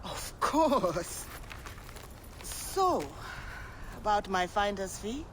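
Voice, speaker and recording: A man's voice: high-pitched, big pitch swings, extremely slow, a little hiss or hum, heavily acted. A man voicing a curious, bookish scholar in a game cutscene, with a musing delivery. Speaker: game character. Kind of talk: video game cutscene acting.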